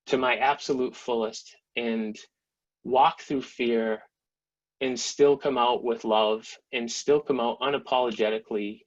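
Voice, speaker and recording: A man from Massachusetts speaks earnestly and from the heart. His voice sits at an ordinary pitch for a man. The sound is dull and lo-fi. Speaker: guest speaker. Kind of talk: personal testimony closing.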